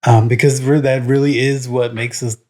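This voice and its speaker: A man's voice: mid-range voice, flat delivery, normal speed, darkish tone. Rambling, frank male podcast co-host. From Puerto Rico. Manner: rambling, full of "um" and "like"; frank and opinionated. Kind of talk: casual podcast chat.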